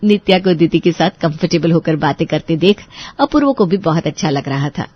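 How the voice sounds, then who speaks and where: A woman's voice: deep voice, lively intonation, fairly quick, breathy edge, muffled recording. A woman narrating a scripted Hindi family bedtime story.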